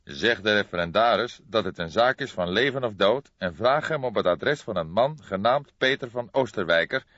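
A man voicing an old, grey-haired police detective, patient and measured, in an audiobook. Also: lowish voice, expressive, a bit bright, dry recording, voice pushed up. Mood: firm, instructing. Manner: measured, thoughtful, hesitant hums. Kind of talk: audiobook character dialogue. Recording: dull lo-fi recording.

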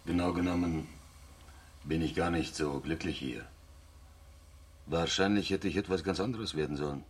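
Guilt-ridden male astronaut, very deep voice, husky, introspective confession, radio drama. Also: normal speed. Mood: brooding, guilty. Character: brooding, guilt-ridden astronaut secretly working for security. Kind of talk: radio play monologue.

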